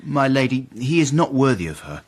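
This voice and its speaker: An actor playing a proud, self-important Victorian gentleman with an English accent, narrating and speaking formally in a period radio drama. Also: medium pitch, sing-song, brisk. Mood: flustered.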